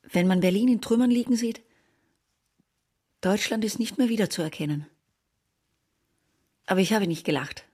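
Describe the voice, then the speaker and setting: Bitter, sardonic woman, mid-pitched. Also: lively intonation, moderate pace, long pauses, darkish tone, slightly rough. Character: bitter, sardonic woman survivor returned after the war. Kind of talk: literary radio drama acting.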